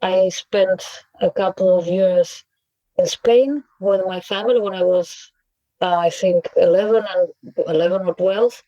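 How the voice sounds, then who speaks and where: Woman, about forty, native Russian speaker, slow and hesitant, reflective interview guest, dull dry lo-fi sound. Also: lowish voice, dry recording. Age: around 40. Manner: thoughtful, hesitant, many pauses and fillers, soft laughs. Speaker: poet and translator, interview guest.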